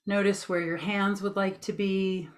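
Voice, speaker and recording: A woman leading a guided meditation with gentle, informal instructions in a soothing, unhurried manner. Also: medium pitch, flat delivery.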